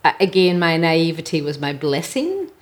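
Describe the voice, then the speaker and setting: A woman's voice: low voice, sing-song, normal speed. A middle-aged or older woman with an Irish accent, telling her own story in a conversational, reflective way as a podcast guest. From Ireland. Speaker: podcast guest, mental health advocate. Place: at home, indoors.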